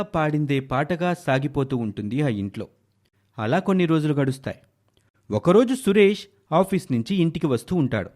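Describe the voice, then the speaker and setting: A man's voice: on the high side, darkish tone. A man narrating a scripted moral tale as a storyteller.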